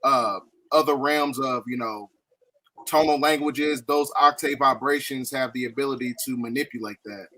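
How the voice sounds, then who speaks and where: A man appearing as a guest on a casual talk show, explaining ideas in a steady, jargon-heavy stream. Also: mid-range voice, moderate pace. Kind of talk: casual podcast chat.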